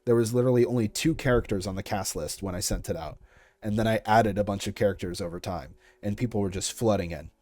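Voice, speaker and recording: A man's voice: lowish voice, fast, a little mellow, no room sound, faint music behind. A young man answering interview questions about his project in a casual, chatty and informal way. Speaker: series creator being interviewed.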